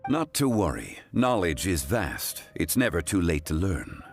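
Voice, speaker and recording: An actor playing a refined, old-fashioned gentleman scholar in a video game, calm, courteous and formal in measured phrases. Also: sits low, heavily acted. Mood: calm, instructive. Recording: music behind the voice.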